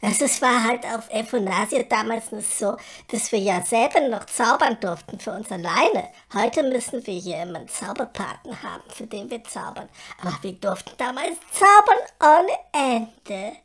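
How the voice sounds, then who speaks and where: A woman's voice: on the high side, lively intonation, moderate pace, few pauses, fairly bright tone. A woman playing a vain, flighty, chatty fairy in a comedy audio drama, with theatrical, airy delivery. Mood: sheepish. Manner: theatrical, exclaiming, airy, shifting to chatty explaining.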